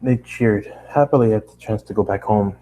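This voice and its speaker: A man's voice: lowish voice, normal speed, mellow, a little hiss or hum, low-quality recording. A tired man reading a story aloud as an amateur narrator, often stumbling and rereading phrases. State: tired, running out of steam. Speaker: amateur fan-fiction reader.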